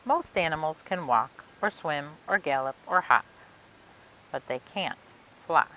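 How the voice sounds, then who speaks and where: Low-voiced woman reading a picture book, lively pitch. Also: a little slow, poor audio. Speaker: library storytime reader. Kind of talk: expressive children's picture book read-aloud. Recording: clear background noise and hiss.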